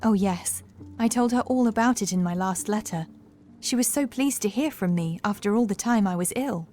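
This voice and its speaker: A woman playing an anxious, dreamy housemaid in a horror audiobook, confiding softly and hesitantly. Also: mid-range voice, normal speed, a little hiss or hum, natural voice. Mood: wistful, dreamy. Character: fragile young housemaid haunted by cruel masters, dreamy and anxious.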